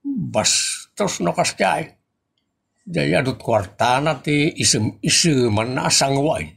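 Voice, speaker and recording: Guy doing old ilocano village grandpa type, gravelly, kinda high, complains a lot, comedy radio drama. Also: lively intonation, slow pace, fairly bright tone, full character voice. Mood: indignant. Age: older. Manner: exclamatory, complaining. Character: grumbling, excitable old village husband and father. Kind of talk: comedy audio drama acting.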